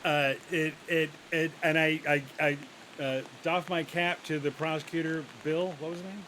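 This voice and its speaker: Male guest interviewee, fairly high pitch, wry and halting, clear background hiss and noise. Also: fairly even intonation, pushed and bright. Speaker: guest playing defense attorney. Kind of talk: casual mock post-trial interview.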